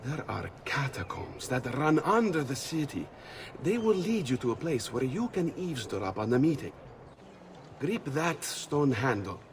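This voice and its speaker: Sly Italian master thief, unhurried. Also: male voice, medium pitch, sing-song. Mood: conspiratorial. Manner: teasing, theatrical, chuckling knowingly. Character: sly, amused master thief, mysterious and self-assured. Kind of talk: video game cutscene acting. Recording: faint background noise and ambience.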